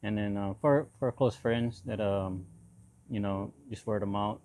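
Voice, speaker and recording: A man in his forties from Hawaii, speaking casually as an interview guest in a halting way, often repeating short phrases. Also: lowish voice, a little mellow. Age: 40s. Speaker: interview guest, lowrider builder.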